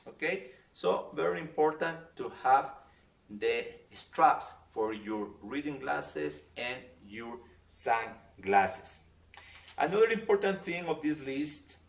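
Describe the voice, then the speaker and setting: A man's voice: mid-range voice, gravelly. Ecuadorian-accented man, roomy distant sound. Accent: Ecuadorian Spanish. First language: Spanish. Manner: friendly, checks in with "okay?" and "yeah?". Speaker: travel guide. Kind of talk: casual explainer to camera. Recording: roomy sound from a distance, faint ambient noise.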